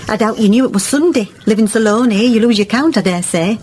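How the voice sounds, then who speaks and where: A woman's voice: mid-range voice, fast, darkish tone, a little hiss or hum, lightly acted. A woman playing a kindly, pious village wife in a radio drama, chatty and earnest, with a rural English accent. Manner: chatty, homely, earnestly persuasive.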